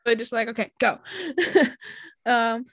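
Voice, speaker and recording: A woman's voice: on the high side, expressive, slow, fairly bright tone, dry recording, studio quality. A young woman co-hosting a podcast, chatting casually, giggling and swearing freely as she riffs. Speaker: podcast co-host. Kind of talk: casual chat.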